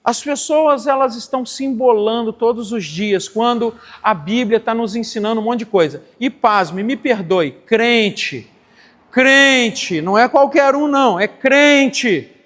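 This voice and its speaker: A man's voice: extremely high pitch, a little slow, a bit bright, big-room sound. A man in his forties, a pastor teaching a sermon in a church, emphatic and repeating phrases for stress. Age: 40s. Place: church sanctuary.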